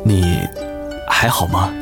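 A man's voice: low-pitched, slow, loud music behind the voice. A man playing a busy, practical, concerned husband and father in a radio drama, with a Taiwanese Mandarin accent. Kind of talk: dramatic radio play acting.

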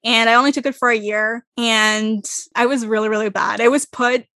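A woman's voice: fairly high pitch, normal speed, no room sound, studio quality. A young woman from Israel chatting animatedly about a musical, laughing often. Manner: animated, laughs often, self-described loud. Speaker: co-host discussing musicals. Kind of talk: casual podcast chat.

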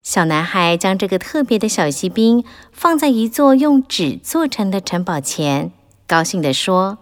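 A woman narrating a children's audiobook in a gentle, expressive storytelling manner. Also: medium pitch, a little slow.

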